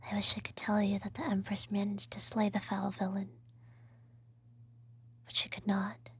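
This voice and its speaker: A woman playing an evil empress and doting adoptive mother, telling a bedtime story in a breathy ASMR whisper with formal, measured diction. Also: medium pitch, monotone. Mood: hushed, solemn storytelling.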